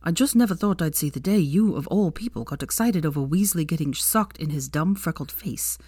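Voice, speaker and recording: A dry, mischievous grown man speaking in a soft, wry tone, as a woman lowers her voice for him. The sound is close and dry. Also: lively intonation, brisk, husky, dry recording. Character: Draco, adult man teacher, dry and mischievous.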